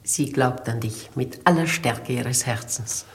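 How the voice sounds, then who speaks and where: A dignified elderly noblewoman, kindly but resolute. She speaks with deliberate, theatrical earnestness in the manner of old radio drama. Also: very deep voice, a little hiss or hum. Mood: urgent, earnest. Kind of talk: 1950s German radio play acting.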